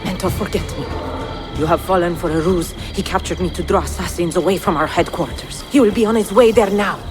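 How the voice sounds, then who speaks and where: Game bit part: male actor as injured loyal assassin captive, high strained voice, desperate, music behind. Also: a little fast, close to an everyday voice. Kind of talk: video game dramatic acting.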